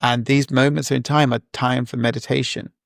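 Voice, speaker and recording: A man's voice: medium pitch, flat delivery, normal speed, vocal fry, no echo, studio quality. A man in his forties, a guest entrepreneur, talking in a casual, reflective way with many fillers and restarts. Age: 40s. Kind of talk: casual interview talk.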